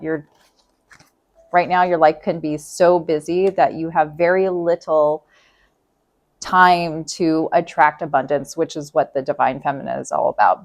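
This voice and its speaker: Chatty woman, voice going hoarse. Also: low-pitched. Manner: chatty, thinks aloud, repeats phrases. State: losing her voice. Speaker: tarot reader. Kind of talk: casual tarot reading to camera.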